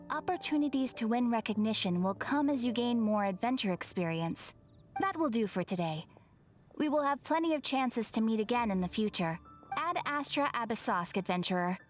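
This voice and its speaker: Fantasy game guild receptionist, played by a woman: young, fairly high voice, formal and welcoming, music behind. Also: expressive, normal speed, natural voice. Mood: formal, encouraging. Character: courteous, cheerful female adventurers' guild receptionist. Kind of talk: scripted video game character acting.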